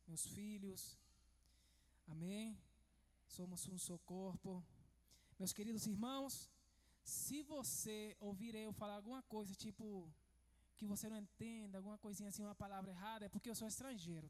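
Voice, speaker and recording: A man from Venezuela, preaching a sermon as pastor in a measured way that turns emphatic. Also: high-pitched, fairly even intonation, darkish tone.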